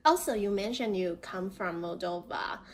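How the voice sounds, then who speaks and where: Taiwanese woman host, halting English. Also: medium pitch, a little hiss or hum. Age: young adult. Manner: soft backchannels, simple halting English. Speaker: podcast host. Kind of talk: casual interview.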